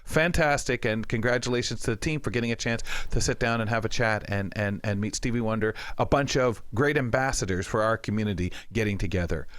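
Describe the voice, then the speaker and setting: A man's voice: on the low side, brisk, hardly any pauses, fairly bright tone. A man co-hosting a casual podcast chat show in a conversational way. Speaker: podcast co-host.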